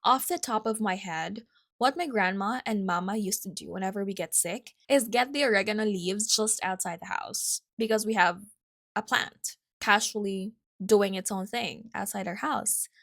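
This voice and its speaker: A young woman with a Filipino accent talks casually as a solo podcast host. Her pitch sits in the middle range for a woman. She tells stories in a chatty, personal way, wandering into asides and correcting her own words as she goes. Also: moderate pace, a bit bright. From Philippines.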